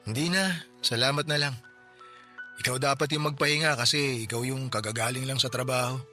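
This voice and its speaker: Guy doing grieving filipino tricycle driver, tired and bitter, bright voice, faint music. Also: mid-range voice, steady tone, close to an everyday voice. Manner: weary, bitter, speaks slowly when stressing words. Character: grieving poor widower, tricycle driver, bitter about justice. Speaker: voice actor in radio drama. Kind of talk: Tagalog radio drama acting.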